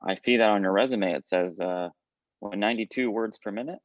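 Man as deep-voiced, polite job interviewer. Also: no room sound, lo-fi sound, lightly acted. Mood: polite, hesitant. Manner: halting, diplomatic. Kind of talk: improvised sketch comedy.

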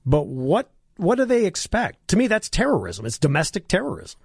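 A hoarse man hosting a talk radio show, delivering a combative, sarcastic monologue and coughing now and then. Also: high-pitched, wildly swooping pitch, moderate pace, dry recording, studio quality.

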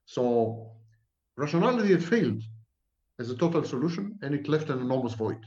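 A man's voice: mid-range voice, sing-song, brisk, a little mellow, echoey room, highs cut off. A man from Israel, a professor answering at length in an emphatic, repetitive lecturing manner. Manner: emphatic, repetitive, sweeping declarations. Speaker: psychology professor guest.

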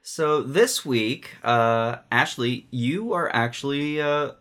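Podcast host lady, super deep voice, lots of uhs, relaxed chat. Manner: halting, fills with uh.

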